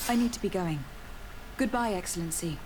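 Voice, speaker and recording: A woman playing a poised, firm young noblewoman envoy in a video game, speaking in a formal, measured way. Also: fairly low pitch, noisy background, close to an everyday voice. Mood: composed, formal.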